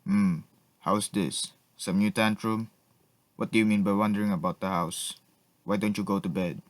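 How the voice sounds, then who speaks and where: A man's voice: on the low side, steady tone, normal speed, no room sound, natural voice. A man playing a stern, suspicious lord and jealous husband in a radio drama, pompous and sneering. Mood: irritated. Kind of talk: melodramatic radio drama acting.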